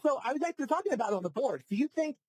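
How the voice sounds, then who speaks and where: A man doing play-by-play commentary on a live card game match, brisk and informative, calling each play and counting the damage. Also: very high-pitched, big pitch swings, darkish tone, no echo, studio quality.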